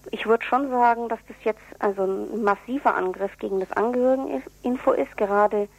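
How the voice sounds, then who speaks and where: A woman being interviewed on radio, explaining things in an earnest, deliberate way. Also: medium pitch, no echo, poor audio. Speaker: interviewee, activist for prisoners' relatives newsletter.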